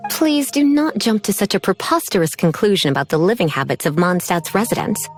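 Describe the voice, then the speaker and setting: A woman's voice: mid-range voice, very animated, a little fast, close to an everyday voice. An actress playing a confident, teasing young female mage librarian in a video game, with a coy, poised manner. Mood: dismissive. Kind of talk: video game character acting. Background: game music.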